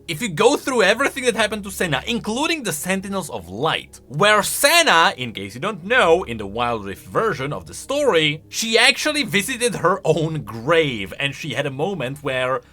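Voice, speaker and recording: A man's voice: fairly high pitch, sharp tone. A man giving casual, chatty commentary on video game lore, thinking aloud with emphatic delivery.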